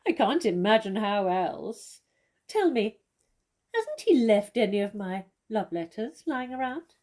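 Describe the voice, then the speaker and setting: Famous stage actress played by a woman, witty and self-assured, gently mocking, mellow tone, theatrical comedy delivery. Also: mid-range voice, sing-song, a little slow. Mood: wry, knowing. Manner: arch, condescending, theatrical.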